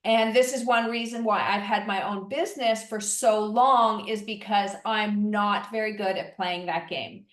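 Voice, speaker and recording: A woman's voice: medium pitch, steady tone, a little slow, hardly any pauses, recorded from a distance. A woman hosting a podcast, talking in an enthusiastic, emphatic way. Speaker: podcast host. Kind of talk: casual podcast conversation. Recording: echoey voice from off the mic.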